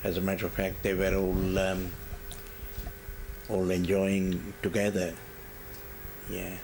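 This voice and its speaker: An older retired man, born in India, answering oral history questions in a halting way with many pauses and fillers. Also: low voice, completely flat delivery, darkish tone, slight background noise, low-quality recording. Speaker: interviewee.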